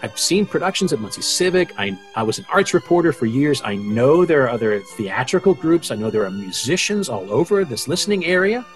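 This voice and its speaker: A man's voice: medium pitch, big pitch swings, brisk, few pauses, soft background music. An American man giving an earnest, enthusiastic, reflective interview recollection as a producer. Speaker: executive producer of radio dramas.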